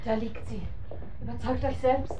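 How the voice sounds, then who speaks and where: A woman's voice: fairly high pitch, steady tone, moderate pace, heavy background noise, natural voice. An actress in a German radio drama playing a harsh, domineering mother, curt and archaic in her speech. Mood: bitter, distressed.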